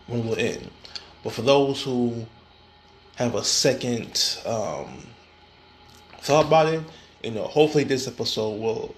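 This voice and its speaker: A man with a gravelly, mid-pitched voice talks straight to his listeners on his own podcast. He often stalls and repeats words as he goes. A faint hiss sits under the recording. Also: a bit bright. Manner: frequent fillers and restarts, stammering repetitions. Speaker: podcast host. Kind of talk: casual solo podcast talk.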